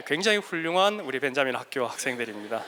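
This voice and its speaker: A man's voice: medium pitch, sing-song, quick pace, noisy background. A man speaking learned Seoul Korean with a lingering regional dialect, giving a joking, self-deprecating lecture to students into a handheld mic, with frequent hesitant fillers. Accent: Korean regional dialect under learned Seoul speech. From South Korea. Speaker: guest mentor lecturer. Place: large hall at a school workshop. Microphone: handheld microphone. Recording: echoey hall reverb.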